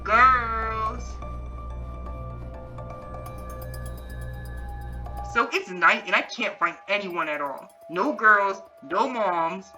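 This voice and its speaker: A woman's voice: medium pitch, slow, lo-fi sound, over music, natural voice. A woman acting a bossy, scolding dance coach in a voiced roleplay series, emphatic and demanding.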